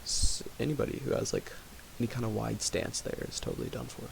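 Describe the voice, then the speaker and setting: Young guy rambling quietly about his music, raspy low voice, lots of "like". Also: steady tone, fairly quick. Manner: rambling, many fillers, thinking aloud. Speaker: music producer streaming. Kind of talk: casual talk while making music. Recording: home recording with audible hiss.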